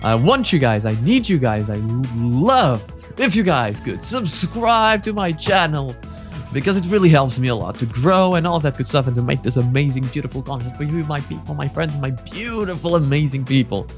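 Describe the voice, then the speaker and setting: A man's voice: on the high side, animated, moderate pace, faint music behind. Effusive man hosting a reaction video. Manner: effusive, flowery, self-deprecating. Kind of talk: casual, rambling intro and reaction commentary.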